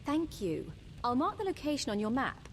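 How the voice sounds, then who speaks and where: A woman's voice: high voice, big pitch swings, moderate pace, a little hiss or hum. A woman playing a guarded, emotionally wounded adventuring daughter in a fantasy game, formal and earnest in manner. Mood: sad, composed.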